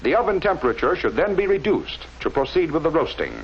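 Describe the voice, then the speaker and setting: A man narrating a scripted 1940s instructional film in a measured, didactic way with careful enunciation, on a vintage film soundtrack. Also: on the high side, sing-song, slightly rough, lo-fi sound.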